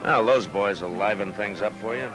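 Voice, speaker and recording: A weary, dry-humored frontier lawman, played by a male actor. His low American voice carries understated authority in the style of a 1950s radio Western, with music heard on the old recording. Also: moderate pace, lightly acted, poor audio. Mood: dry, relaxed.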